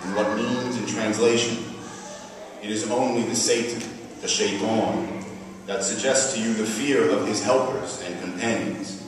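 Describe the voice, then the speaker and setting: A man's voice: fairly low pitch, steady tone, unhurried. A man giving a formal religious address in the style of a preacher reciting scripture. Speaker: religious speaker at an awareness event. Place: large hall at an Islamic center. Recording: very echoey hall from far off, clear noise.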